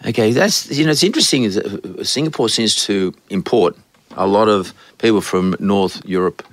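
A man's voice: fairly low pitch, dry recording. A man hosting a casual interview podcast, rambling with frequent restarts. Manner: rambling, quick, full of restarts. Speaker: podcast host.

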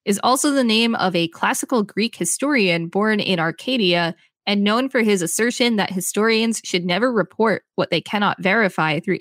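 A woman's voice: mid-range voice, dry recording, clean studio sound. A woman co-hosting a podcast, reading an encyclopedia-style article aloud with light commentary. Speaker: podcast co-host.

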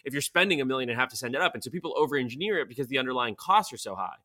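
A young man from Bulgaria, a startup founder explaining things in a casual interview, quick and full of fillers like "like" and "you know". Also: mid-range voice, animated, bright, forceful tone.

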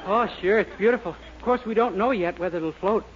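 A man's voice: high-pitched, brisk, close to an everyday voice. Man acting a naive, boyish young fellow. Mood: earnest, puzzled. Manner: boyish, earnest. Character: naive, eager, good-natured young man. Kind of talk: 1940s radio sitcom acting. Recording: very noisy, muffled 1940s radio recording.

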